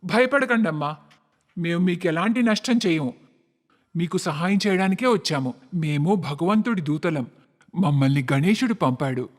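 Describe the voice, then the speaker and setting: A solemn, magical child messenger of God from a cartoon tale, acted by a man. The voice is high for a male and rings in long, hall-like reverb, with dramatic storybook delivery. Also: lively intonation, moderate pace, natural voice. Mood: reassuring.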